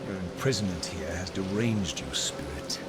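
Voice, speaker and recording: An actor playing a sardonic undead vampire wraith who speaks in measured, probing arguments. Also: male voice, low-pitched, animated, very noisy. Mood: cold. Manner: measured, sarcastic. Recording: echoey with loud score.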